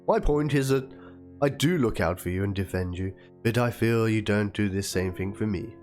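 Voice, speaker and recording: Man playing a low-voiced, theatrical, wounded woman. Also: normal speed, a little mellow, natural voice. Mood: hurt, pleading. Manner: theatrical, plaintive, spells out chat slang like "lol". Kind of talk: dramatic reading of a written document and chat logs. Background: guitar music.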